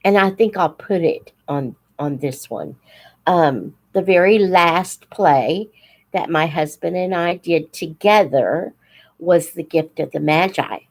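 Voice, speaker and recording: An American woman in her seventies shares memories in an informal, reflective way. Her voice sits slightly low and she speaks slowly. She often pauses partway through a thought and tells things as a personal story. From United States. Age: 70s. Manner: halting, reflective, pauses mid-sentence, acts out quoted dialogue. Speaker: host of a live Q&A stream, teacher figure.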